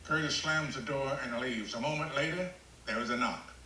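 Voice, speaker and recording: A man's voice: medium pitch, normal speed, bright, forceful tone, echoey room, a little hiss or hum, rough recording. A man reading stage directions as scripted screenplay narration at a table read.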